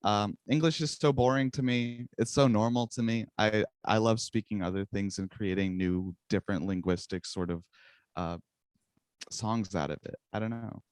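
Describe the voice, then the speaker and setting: Young man, first language Czech, low voice, dry close sound, rambling, self-mocking, laughs a lot. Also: steady tone, normal speed, clear, resonant tone, no echo. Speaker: musician guest. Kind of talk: casual interview chat.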